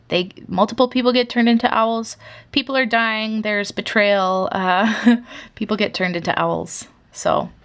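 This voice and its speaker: A woman's voice: medium pitch, a bit bright. A young woman from Canada chatting casually through a sketchbook flip-through, rambling with lots of fillers. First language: English. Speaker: artist showing her sketchbook.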